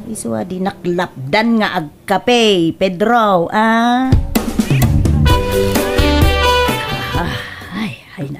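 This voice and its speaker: A woman playing a fussy, bickering wife in an Ilocano comedy radio drama, with drawn-out complaints and theatrical sighs. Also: medium pitch. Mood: wistful, defensive. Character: fussy, sleepless, coffee-loving wife, bickering and scheming.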